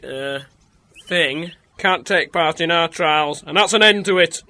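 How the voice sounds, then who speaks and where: Male actor as a bright, high-pitched, rule-bound Yorkshire official. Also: measured pace. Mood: firm. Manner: firm, officious. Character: stubborn Yorkshire sheepdog trial official, male.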